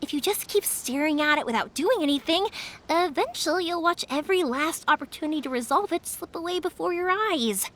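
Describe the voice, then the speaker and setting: A woman's voice: very high-pitched, animated, a little fast. An actress playing a cheerful, talkative young woman who makes fireworks, bubbly and encouraging. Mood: upbeat. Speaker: fireworks maker. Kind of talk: game cutscene dub. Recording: soft music behind.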